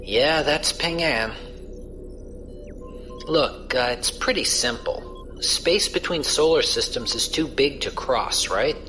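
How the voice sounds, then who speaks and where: A man's voice: mid-range voice, takes it slowly, over music, natural voice. A man playing a casual, wry space pirate crewman who explains things colloquially in a science fiction audio drama. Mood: casual, explanatory. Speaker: voice actor.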